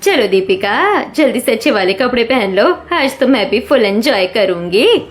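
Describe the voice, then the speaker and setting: A woman's voice: on the high side, sing-song, moderate pace. A woman playing a gushing, showy middle-aged mother-in-law in a theatrical cartoon style. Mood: excited. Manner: exclamatory, theatrical. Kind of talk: Hindi cartoon story acting.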